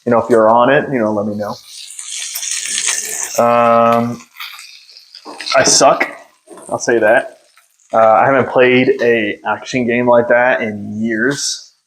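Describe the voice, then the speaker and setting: A man's voice: mid-range voice, fairly even intonation, takes it slowly, long pauses, fairly bright tone, slight background noise, low-quality recording. Rambling, joking young man. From United States. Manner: rambling, self-deprecating, many fillers. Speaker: podcast host. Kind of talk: unscripted casual monologue. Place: home. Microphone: phone on a stand.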